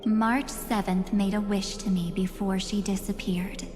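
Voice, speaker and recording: A woman voicing an enigmatic young female memory spirit in a video game, coaxing and softly menacing, with slow, breathy delivery. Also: mid-range voice, level tone, over music, lightly acted. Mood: coaxing, ominous. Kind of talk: scripted video game dialogue.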